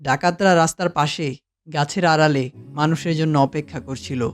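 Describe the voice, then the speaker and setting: Man as higher-pitched cartoon storyteller. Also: moderate pace, faint music behind, natural voice. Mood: measured storytelling. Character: storyteller narrating a moral tale. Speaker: narrator. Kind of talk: scripted storytelling narration for a cartoon.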